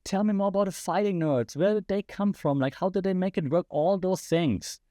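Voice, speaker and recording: Passionate German man with a mellow voice. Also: high voice, moderate pace, no room sound, studio quality. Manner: passionate, rhetorical questions to the audience. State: slightly losing his voice. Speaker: podcast host. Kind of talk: opinionated solo commentary.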